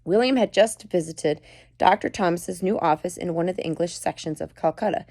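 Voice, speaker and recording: A woman's voice: lowish voice, brisk, darkish tone, dry recording, studio quality. A mother reading a book aloud to her children at home, stopping often to comment and explain. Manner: chatty, stops to comment and explain to listeners.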